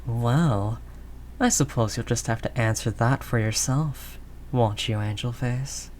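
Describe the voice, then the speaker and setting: A man's voice: mid-range voice, unhurried, darkish tone, slight background noise, close to an everyday voice. Man acting as a doting streetwise mafia boss. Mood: tender, teasing. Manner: streetwise slangy talk with pet names, teasing. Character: doting, world-weary young mafia boss, tough but tender. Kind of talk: intimate scripted roleplay audio drama monologue.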